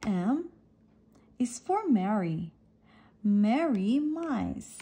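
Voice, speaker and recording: Slow, high-pitched woman reading to children. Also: sing-song, mellow, slightly rough. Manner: deliberate, separates each letter and phrase. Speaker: reader of a children's picture book. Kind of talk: read-aloud of an alphabet picture book, teaching letters and words.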